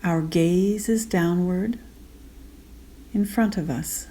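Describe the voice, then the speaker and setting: A woman guiding a meditation gently and unhurriedly in short spaced phrases, with soft, breathy endings. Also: fairly low pitch, slow. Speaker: meditation guide. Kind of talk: guided meditation.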